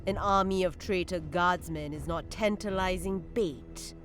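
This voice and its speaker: Actor as aloof war sorcerer, cold and formal, androgynous mid-range pitch, faint music; audio drama. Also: unhurried, a bit bright, lightly acted. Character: cold aloof sorcerer advising a renegade army.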